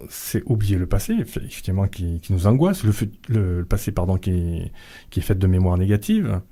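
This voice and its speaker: A middle-aged man speaks as a radio interview guest, his voice low and his tone mellow. He talks slowly and hesitantly, with frequent fillers and restarts. He sounds calm and unhurried throughout. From Vietnamese family background. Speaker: author and guest.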